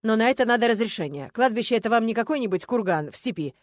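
A woman's voice: on the high side, lively intonation, racing, no echo, lightly acted. Casting: female reader as tired, matter-of-fact policeman, dry authority, audiobook crime story character. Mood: doubtful. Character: weary, businesslike male police investigator.

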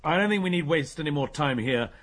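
An actor playing a dry, sardonic police inspector who asks blunt questions in a radio drama. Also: male voice, a little high, animated, fast, background noise, lightly acted. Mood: dry, questioning.

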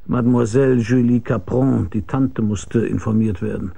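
An actor playing a weary, laconic, deadpan hard-boiled private detective who narrates his case in a radio crime drama. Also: medium pitch, moderate pace, vocal fry, breathy edge, no echo. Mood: grim, deadpan.

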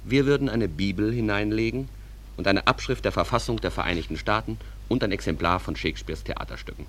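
An actor playing a calm, reflective small-town stage manager who addresses listeners in an unhurried, conversational way in a German radio drama. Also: male voice, fairly low pitch, a little hiss or hum, close to an everyday voice.

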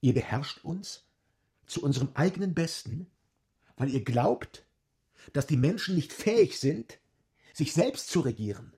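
An actor playing a tormented prisoner in a radio drama, speaking in a strained, halting way. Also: male voice, on the high side, big pitch swings, dark tone. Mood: desperate. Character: tormented male prisoner straining to give the wanted answer.

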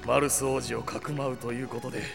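A man playing a grumbling, cautious royal retainer with a gravelly voice in a fantasy audio drama. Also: medium pitch, flat delivery, fast talker, loud music behind the voice, lightly acted. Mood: grave, doubtful.

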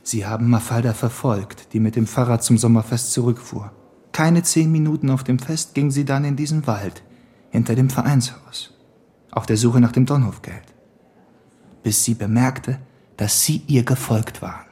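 An actor playing a wry, self-assured police detective in a German radio crime drama, speaking in a dry, knowing, matter-of-fact way. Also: male voice, mid-range voice, fairly even intonation, normal speed, dark tone, natural voice. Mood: pressing, reconstructing.

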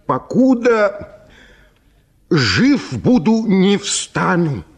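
A little old landowner down on his luck in a radio play. He flatters and gushes in florid, theatrical phrases, his highish voice swinging widely up and down. He takes his time over the words. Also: drawn-out words, husky, full character voice. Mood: reverent, gushing. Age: older. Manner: florid, theatrical, gushing obsequiousness. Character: small old impoverished landowner, effusive, fawning, grateful flatterer. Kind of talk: radio play acting of classic comic story.

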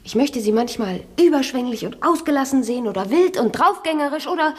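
A woman playing a spirited, sharp-tongued, mocking young gentlewoman in a German radio play. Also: high voice, expressive, brisk, husky, long reverb tail. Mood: teasing. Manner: mocking, playful.

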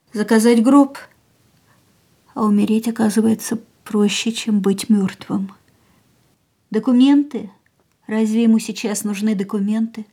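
A grieving, husky young woman. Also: fairly high pitch. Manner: quiet, stunned inner monologue, often whispered. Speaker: actress in a radio play. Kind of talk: radio drama with inner monologue.

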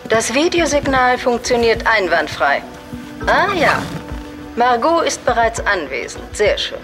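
An actress playing an aloof, powerful client in an audio drama, terse, formal and businesslike. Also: female voice, on the high side, big pitch swings, measured pace, music in the background. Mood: cool, brisk. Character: aloof, powerful secret female client, brisk and exacting. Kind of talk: German audio drama acting.

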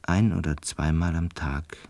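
An actor playing a gentle, melancholic butterfly enthusiast in a radio drama, measured, reflective and hesitant, with a husky voice. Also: male voice, rumbling low, fairly even intonation, no echo, exaggerated voice. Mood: resigned, reflective. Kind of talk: radio drama acting and first-person narration.

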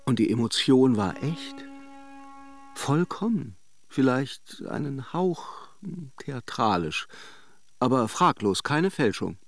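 An actor playing a worldly, ironic middle-aged man who recalls an affair in a radio drama, with deliberate hesitations. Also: medium pitch, very animated, faint music behind. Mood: wry, ironic. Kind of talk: radio drama monologue, narrated as a confession.

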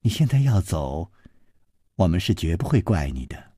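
A man voicing a charming young noblewoman in his own low, gracious, soft voice. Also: very animated, moderate pace, slightly rough, dry recording, studio quality, heavily acted.

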